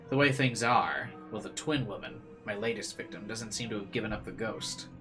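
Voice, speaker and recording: A man's voice: lowish voice, moderate pace, sharp tone. Male let's player reading aloud. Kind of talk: visual novel read-aloud with casual commentary. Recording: faint game music behind.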